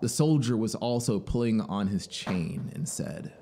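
A man in his thirties reads a literary story with expressive, measured delivery. His pitch is animated, and he is close on a studio mic with a faint ambient wash behind him. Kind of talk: dramatic literary narration. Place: small home music studio. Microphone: close studio microphone on boom arm. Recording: faint background noise and ambience. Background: ambient soundscape.

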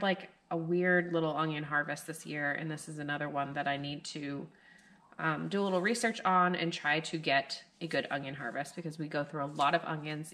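A woman in her thirties with a low voice talks casually to a camera in her living room at night. She rambles along loosely and fills her pauses with "like" and "um" as she chats about her garden. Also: fairly bright tone. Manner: rambling and chatty, with many fillers. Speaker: homestead gardening vlogger.

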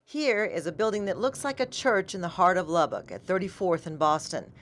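A woman's voice: on the low side, lively intonation, fairly quick, slight background noise. A middle-aged American woman reading scripted TV news narration as an anchor and reporter.

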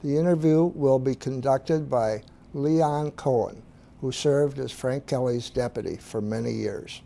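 Brisk, mellow-voiced man announcing. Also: medium pitch, creaky. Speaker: introducer. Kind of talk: formal scripted introduction.

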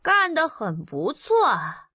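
A woman voicing a small, self-assured child lord in an audiobook, with a babyish, drawn-out manner. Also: high voice, huge pitch swings, sharp tone, dry recording, clean studio sound, heavily acted. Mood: pleased, bossy. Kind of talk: audiobook character dialogue.

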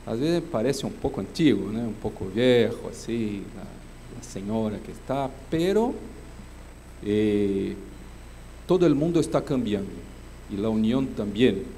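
A man's voice: fairly low pitch, lively intonation, measured pace, dark tone, a little hiss or hum, rough recording. Earnest man. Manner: earnest, addresses the audience with questions, frequent hesitations. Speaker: panel speaker. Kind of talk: conference opening remarks. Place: large conference hall, seated at a panel table. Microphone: desk microphone.

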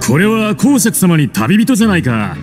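An actor playing a genial middle-aged prison canteen keeper in a game, chatty and welcoming. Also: male voice, high voice, big pitch swings, normal speed. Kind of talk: game voice acting. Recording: game music with guitar behind.

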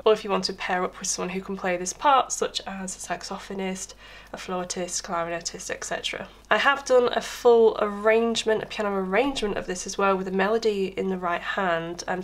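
A woman with a hoarse voice from being under the weather, chattily explaining a piano tutorial step by step at home, recorded on a crackling camera mic. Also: mid-range voice, brisk. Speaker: piano teacher. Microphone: camera microphone with crackle. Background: piano playing.

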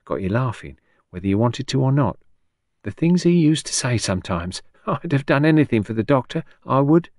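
A man's voice: mid-range voice, sing-song, normal speed, a little mellow, no echo, clean studio sound, voice pushed up. A man voicing a shrewd, blunt old working-class woman patient in an audiobook, chatty and colloquial with folksy endearments. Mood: fond, admiring. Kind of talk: audiobook character dialogue.

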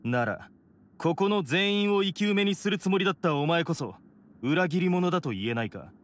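Proud, intense young oni. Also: male voice, sits high, animated, moderate pace, slight background noise. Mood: cold. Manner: intense, curt, commanding. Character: stern, proud young blue oni man, self-sacrificing, desperate. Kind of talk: Japanese anime game drama acting. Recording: prominent music behind the voice. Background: dramatic game music.

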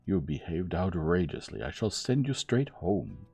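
A man's voice: sits low, big pitch swings, normal speed, vocal fry, a little mellow, breathy edge, faint music behind, full character voice. A man voicing a venomous, domineering old lady in an audiobook, snapping imperiously.

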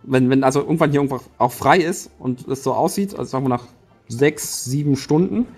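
A man with a husky, breathy voice at a middle pitch lays out tactics for his players. He thinks aloud in long run-on turns, stopping and restarting mid-sentence. Quiet music plays faintly behind him. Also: a little fast. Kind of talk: tabletop strategy discussion.

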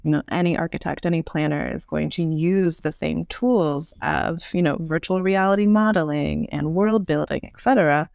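A woman's voice: deep voice, moderate pace, creaky, few pauses, darkish tone, dry recording, clean studio sound. A woman explaining her ideas as an interview guest, articulate and conversational. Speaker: guest, urban design expert. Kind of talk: explanatory podcast interview answers.

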